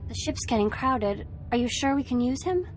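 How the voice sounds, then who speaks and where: A woman's voice: on the high side, expressive, moderate pace, soft background music, close to an everyday voice. A woman playing an earnest young warrior apprentice in a video game, direct and questioning. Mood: doubtful.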